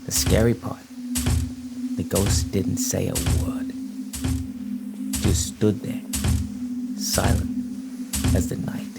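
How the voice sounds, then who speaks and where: A folksy storyteller acted by a man narrates a Christmas ghost tale with slow, dramatic deliberation. Short phrases build suspense, and music runs beneath the voice. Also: sits low, level tone, vocal fry, heavily acted. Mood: ominous.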